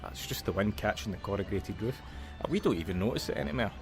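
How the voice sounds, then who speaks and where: An actor playing a friendly, teasing Scottish visitor centre manager in an audio drama, easygoing and chatty, with music behind him. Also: male voice, lowish voice, fast, lightly acted. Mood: reassuring. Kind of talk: naturalistic audio drama dialogue.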